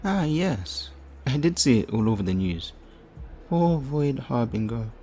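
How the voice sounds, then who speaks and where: A man playing a flirtatious, theatrical supervillain leader in an audio roleplay, smug and righteous, with teasing, drawn-out phrasing. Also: medium pitch, very animated, warm tone, faint music behind. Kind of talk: audio roleplay addressed to the listener.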